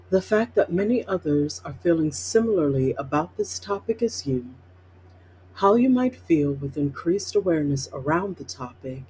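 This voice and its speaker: A meditation guide reading a scripted guided meditation in measured, instructional, spaced-out phrases. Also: mid-range voice, a little mellow, poor audio. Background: plucked guitar music.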